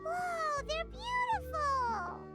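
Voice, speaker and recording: Super high squeaky tiny fairy sidekick, excitable, bouncy pitch, anime game, loud music behind. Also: female voice, very slow, heavily acted. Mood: curious, amazed. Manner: squeaky, refers to self in third person. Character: tiny excitable childlike floating fairy companion.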